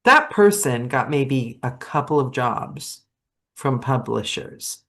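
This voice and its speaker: A podcast host and fellow audiobook narrator asking questions and telling stories in a relaxed, conversational way. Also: medium pitch, slow. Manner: conversational, storytelling with asides. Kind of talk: casual interview chat.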